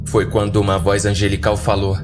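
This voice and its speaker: A man's voice: on the low side, completely flat delivery, a little fast. A man with a Brazilian accent narrating a scripted horror story dramatically for a podcast. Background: background music.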